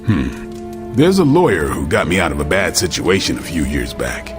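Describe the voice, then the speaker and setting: A man's voice: very deep voice, wildly swooping pitch, normal speed, music in the background, exaggerated voice. A man acting as a wry, thoughtful hero who leads a group in a video game cutscene, speaking conversationally. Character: wry, thoughtful male hero leading a book club.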